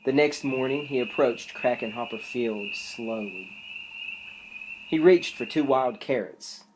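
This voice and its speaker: Unhurried dramatic picture-book reader, suspenseful delivery, mid-pitched voice, noisy recording. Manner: theatrical, with drawn-out suspenseful phrasing. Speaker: storyteller reading a picture book aloud. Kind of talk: dramatic children's story reading. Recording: clearly noisy background.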